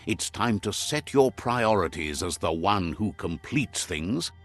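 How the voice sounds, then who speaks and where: A man playing the wry, sage narrator of an animal fable game, telling it in a measured, philosophical storybook style. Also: low voice, lively intonation, a bit bright, faint music behind, full character voice. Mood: reflective, sage.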